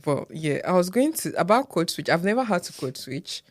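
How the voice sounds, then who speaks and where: A woman with a Nigerian accent, co-hosting a podcast and telling stories in a relaxed, steady way. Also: on the low side, brisk, dry recording, clean studio sound. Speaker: podcast co-host. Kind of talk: casual chat.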